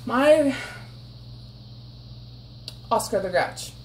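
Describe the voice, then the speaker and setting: Thirties woman, home cook, high and lively voice, casual kitchen chat on a phone. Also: drawn-out words, noisy background. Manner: counts out loud with a put-on villain laugh. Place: small home kitchen, indoors.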